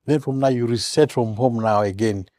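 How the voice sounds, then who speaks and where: A man's voice: medium pitch, moderate pace, warm tone, dry recording. A man from eastern Uganda, a guest on a talk show, speaking in a humorous, storytelling way. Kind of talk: casual interview.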